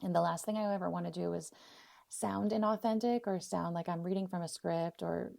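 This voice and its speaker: A woman's voice: lowish voice, steady tone, a little fast, creaky, warm tone, dry recording, studio quality. A 39-year-old woman with an American accent hosting a casual, personal solo podcast, speaking off the cuff in effusive, heartfelt, spiritual phrasing. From Arizona, US; born in Poland. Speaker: podcast host.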